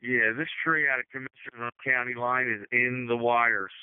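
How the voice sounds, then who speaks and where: A man's voice: medium pitch, steady tone, normal speed, clear, resonant tone, no room sound. A man on a road crew reporting in over two-way radio in a plain-spoken, blunt manner. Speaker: highway department road crew worker. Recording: muffled, dry two-way radio transmission.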